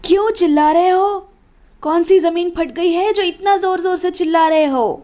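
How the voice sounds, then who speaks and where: A cruel older mother-in-law in a cartoon village tale, voiced by a woman. She scolds and commands in a high, shrill voice. Her tone is biting and dismissive. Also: moderate pace, mellow. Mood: scolding.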